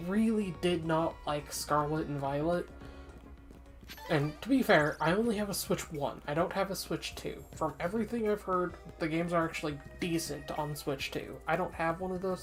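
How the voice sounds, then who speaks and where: A low-pitched woman talks through her gameplay in a relaxed, rambling way, full of ums and frank takes. Her voice sounds a little congested and sniffly. Soft game music plays faintly underneath. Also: normal speed. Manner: chatty, frequent ums and uhs, candid opinions. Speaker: streamer. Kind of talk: casual gameplay commentary.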